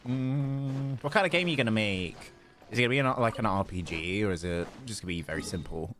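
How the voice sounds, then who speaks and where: A man's voice: lowish voice, quick pace, fairly bright tone, a little hiss or hum. A man livestreaming a video game, chatting casually and distractedly to viewers between reactions to play. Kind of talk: casual chat while playing a video game.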